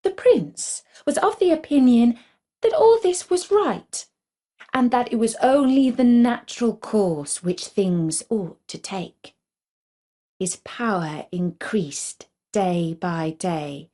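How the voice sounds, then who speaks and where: A woman reading a scripted fairy tale for children in a measured, deliberate storybook manner. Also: a little high, animated, warm tone.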